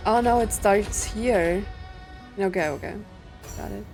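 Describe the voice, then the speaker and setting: A woman doing casual video game commentary, musing out loud and reading on-screen text as she plays. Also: mid-range voice, a little slow. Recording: game music behind the voice. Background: video game music.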